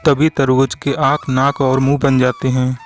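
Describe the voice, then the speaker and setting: A man's voice: mid-range voice, little pitch movement, normal speed, faint music behind. A man giving scripted storybook narration for a cartoon.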